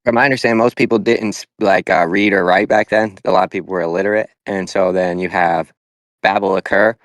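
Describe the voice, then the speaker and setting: Low-voiced laid-back man. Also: fairly even intonation, a little fast, fairly bright tone, dry recording. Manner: laid-back, calls others bro. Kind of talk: casual religious discussion.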